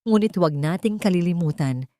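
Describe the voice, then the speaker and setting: A woman's voice: fairly low pitch, lively intonation, a little fast, mellow, no echo, studio quality. A woman with a Filipino accent reads a sentimental story as a dramatic audiobook narrator, in a solemn, earnest manner.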